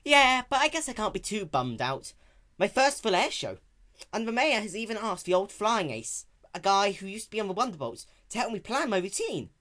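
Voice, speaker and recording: A man's voice: sits high, very animated, normal speed, sharp tone, pitched above the performer's own voice, full character voice. A man voicing a brash, cocky young female pegasus pony in a dramatic story reading, boastful and slangy. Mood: excited. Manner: boastful, casual slangy talk.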